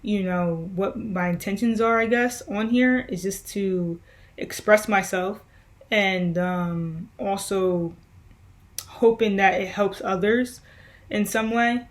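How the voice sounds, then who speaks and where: A woman's voice: mid-range voice, steady tone, slow pace. A young woman musician answering viewer questions in a loose, rambling way, with many fillers and restarts. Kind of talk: casual Q&A vlog.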